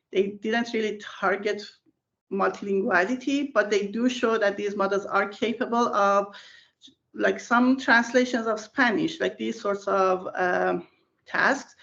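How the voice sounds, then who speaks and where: A woman's voice: mid-range voice, gravelly, a bit bright, lo-fi sound. A woman whose first language is Persian, a research scientist answering interview questions in a thoughtful way with many fillers and restarts, heard over a video call.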